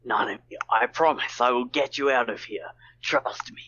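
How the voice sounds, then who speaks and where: A man's voice: mid-range voice, normal speed, sharp tone, dry recording, lo-fi sound. A man playing an earnest, reassuring visual novel hero in an amateur read-aloud.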